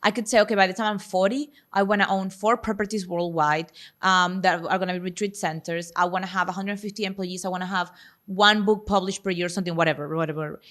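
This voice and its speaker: A woman's voice: on the low side, fairly even intonation, fast, a bit bright. A woman in her thirties hosting a podcast, speaking in an encouraging coaching way in casual chat. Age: 30s. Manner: encouraging, coaching tone, plain-spoken. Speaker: podcast host and self-worth coach.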